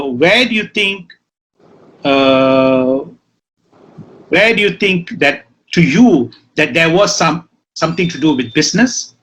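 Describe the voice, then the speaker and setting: A man's voice: fairly high pitch, a bit bright, low-quality recording. A middle-aged man hosting a talk show interview, asking questions with many fillers and restarts. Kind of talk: casual interview.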